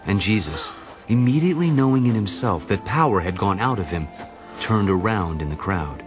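A man narrating a dramatized audio Bible in a solemn, deliberate storytelling manner. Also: lowish voice, expressive, music in the background.

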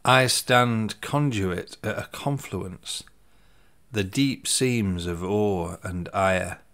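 A man reading his own poems in a measured, deliberate way, pausing between phrases. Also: on the low side, vocal fry. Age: 50s. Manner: deliberate, pausing between short phrases, voice dropping at line ends. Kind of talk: slow, measured poetry reading. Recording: soft music underneath.

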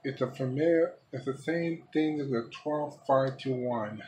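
Guy showing off his collection on a homemade video, slow choppy talking, bit of noise behind him. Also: mid-range voice, a little mellow, poor audio. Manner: halting, fragmented phrasing. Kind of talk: unscripted product showcase vlog. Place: small room by a window. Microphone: handheld camera, speaker off screen. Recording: background noise, homemade handheld camera audio.

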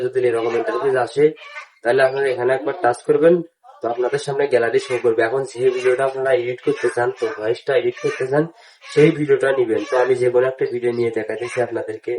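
A man's voice: mid-range voice, a little mellow, slight background noise, rough recording. A man hosting a casual step-by-step app tutorial, with repetitive, instructional phrasing. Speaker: tutorial host.

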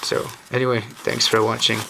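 A hoarse man, recovering from a lost voice, chatting casually and thinking aloud as he hosts a home video. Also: mid-range voice, normal speed. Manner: relaxed, thinking aloud with mild uncertainty. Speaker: cube channel host. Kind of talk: casual unboxing commentary. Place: indoors at a wooden table. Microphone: camera mic on a tabletop shot of hands. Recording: home camera audio with steady noise.

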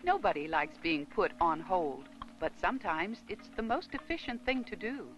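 A middle-aged actress playing a courteous, instructive receptionist in a scripted 1980s training film. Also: female voice, mid-range voice, sing-song, normal speed, a bit bright. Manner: polite, clearly instructive. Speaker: receptionist and narrator. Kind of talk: scripted training-film narration and acted dialogue. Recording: 1980s film soundtrack, faint music.

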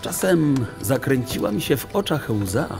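A man narrating a children's audiobook in a literary, theatrical, deliberate storytelling manner. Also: mid-range voice, very animated, creaky. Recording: music under the voice. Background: music.

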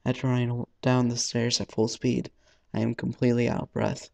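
A man's voice: medium pitch, flat delivery, moderate pace, vocal fry, a little mellow. A man hosting a let's play, reading visual novel narration aloud dramatically and stumbling over the text now and then. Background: faint game music.

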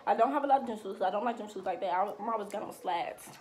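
An eighteen-year-old woman chats through a viewer Q&A in a loose, slangy way and gestures as she talks. Her voice sits at a middle pitch. She records on a desk webcam in a small room at home, with faint noise in the background. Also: quick pace. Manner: chatty, slangy, animated with hand gestures. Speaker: vlogger. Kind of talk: casual Q&A chat.

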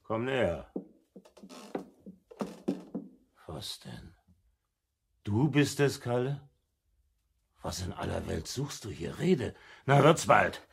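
An actor playing a suspicious, patronising middle-aged uncle in a radio play, commanding and condescending. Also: male voice, fairly low pitch, big pitch swings, slow pace, creaky, long pauses, slightly rough, full character voice. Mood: alarmed, commanding. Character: suspicious, shady uncle, patronising adult man. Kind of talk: 1970s German radio play acting.

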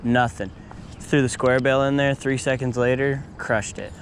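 A young man vlogging casually while fishing outdoors at a pond, talking to viewers with excitement over each catch. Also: mid-range voice, moderate pace, a little hiss or hum. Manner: excited, upbeat, talks to viewers as y'all. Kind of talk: casual outdoor vlog narration. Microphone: action camera on chest or in hand.

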